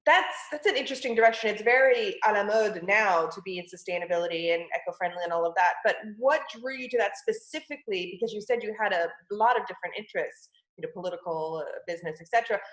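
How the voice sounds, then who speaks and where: A woman's voice: mid-range voice, quick pace, fairly bright tone, roomy sound, low-quality recording. A woman from California hosting a podcast interview, asking questions with eager curiosity. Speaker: podcast host.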